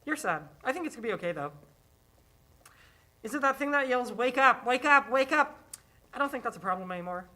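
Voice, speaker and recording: Woman acting small girl, innocent, trusting, childlike and reassuring, very bright forceful voice, stage reading. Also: fairly high pitch, expressive, fast talker, pauses often. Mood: concerned, comforting. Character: young girl, innocent, trusting, comforting younger self. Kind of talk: acted script reading.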